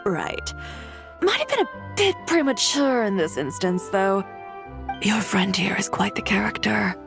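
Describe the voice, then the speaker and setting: Sardonic, poised mid-pitched woman. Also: sing-song, measured pace, creaky, over music. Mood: dry, teasing. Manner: dry, teasing. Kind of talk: video game dialogue.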